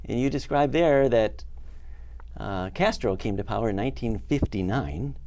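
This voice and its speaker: Soft-toned male interviewer, faint hiss. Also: medium pitch, expressive, normal speed. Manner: courteous, leading questions. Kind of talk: interview questions.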